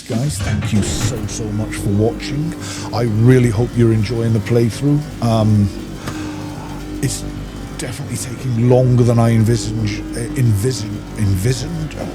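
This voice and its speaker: A man giving casual gameplay commentary, thinking aloud and muttering to himself as a video host. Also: fairly low pitch. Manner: chatty, muttering to himself while playing. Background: loud music and game sound.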